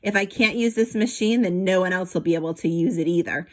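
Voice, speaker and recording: A woman's voice: fairly low pitch, a little fast, a little mellow, lightly acted. A villainous rat count out of a children's storybook, acted out by a woman. He is snobbish and boastful, snapping his words with haughty, emphatic relish. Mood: spiteful.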